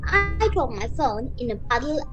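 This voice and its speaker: A woman as a very high-pitched earnest youngster. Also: big pitch swings, normal speed, over music. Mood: polite, flustered. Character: polite, plucky lost girl and her nervous brother. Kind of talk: radio play acting.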